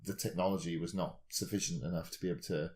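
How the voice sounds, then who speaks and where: A man with a deep voice speaks in casual podcast discussion. He is analytical, reasoning things through aloud and often turning his points into questions. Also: fairly even intonation, fast, darkish tone.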